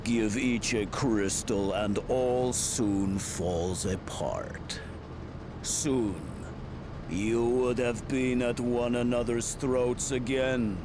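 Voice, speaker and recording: A man's voice: mid-range voice, steady tone, very slow, noisy background. A man playing a pompous, megalomaniac tyrant in a video game, with a grandiose, sneering, theatrical manner. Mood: smug, scornful. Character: pompous, megalomaniac male tyrant villain bent on conquest. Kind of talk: video game cutscene voice acting. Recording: loud orchestral music behind. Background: dramatic game music.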